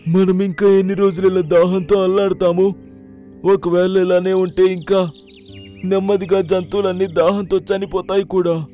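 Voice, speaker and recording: A man's voice: high-pitched, level tone, normal speed, warm tone, soft background music, lightly acted. Man as a grave, wise elephant. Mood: worried. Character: wise, concerned elephant leading the animals. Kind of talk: children's cartoon story acting.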